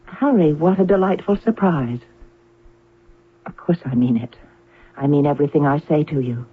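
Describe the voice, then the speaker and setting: A woman's voice: low voice, breathy edge. An actress playing a sweet, demure young female android in a 1970s radio drama. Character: young female android, sweet, compliant, artlessly charming fiancee. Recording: tinny, noisy old radio recording with hum.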